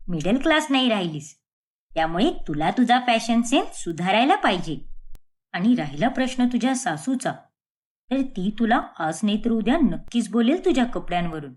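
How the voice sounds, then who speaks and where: A snobbish young society woman played by a female narrator from a cartoon moral story. She lectures in a condescending, scornful manner, with a very lively pitch that swoops around. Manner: condescending, lecturing, fashion-obsessed. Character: snobbish rich young women, scornful socialite friends.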